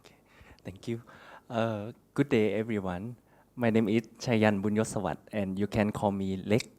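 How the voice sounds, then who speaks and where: A man from Thailand, a graduate student and doctor, introducing himself quietly with fillers. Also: on the low side, fairly even intonation, normal speed. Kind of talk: self-introduction.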